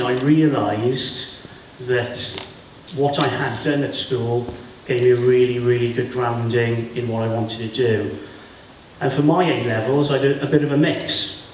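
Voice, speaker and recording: A man from Wales whose first language is Welsh talks plainly and warmly to pupils about his path into work. His voice sits at an ordinary middle pitch. The sound is distant and roomy, with heavy echo and a faint hum of ambience behind it. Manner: plain, friendly, addresses pupils directly. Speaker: guest speaker. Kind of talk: career talk to school pupils. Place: school hall or classroom. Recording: echoey room from far off.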